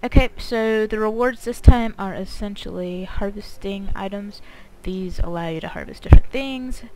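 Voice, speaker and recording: A woman's voice: mid-range voice, moderate pace, low-quality recording. A woman giving casual gameplay commentary, thinking aloud informally with her mouth very close to the microphone. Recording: loud noise, breathy mouth-on-mic clicks.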